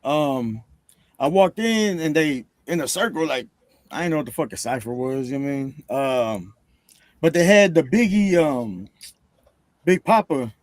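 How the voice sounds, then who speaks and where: Higher-voiced man in his thirties, slangy. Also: lively intonation, measured pace, no room sound. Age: 30s. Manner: slangy, swearing, peppers talk with "bro" and "you know". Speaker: podcast guest, rapper. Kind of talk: casual chat.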